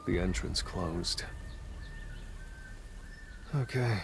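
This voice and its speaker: A man's voice: low voice, very slow, vocal fry, background noise, heavily acted. A man playing a cool, laconic, self-assured young monster hunter in a video game. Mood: musing. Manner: terse, understated. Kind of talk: English game dub acting. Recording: music behind the voice.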